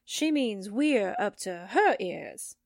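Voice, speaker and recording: Woman playing a sassy, animated radio sidekick. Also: medium pitch, slow pace, no room sound, studio quality. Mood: sardonic. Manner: wry, wisecracking. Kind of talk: 1940s radio acting.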